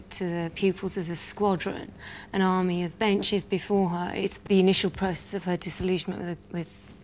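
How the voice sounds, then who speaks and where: A woman speaking as a panel guest, earnest and articulate as she gives analytical literary commentary. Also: fairly low pitch. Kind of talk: analytical book discussion. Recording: clear background noise.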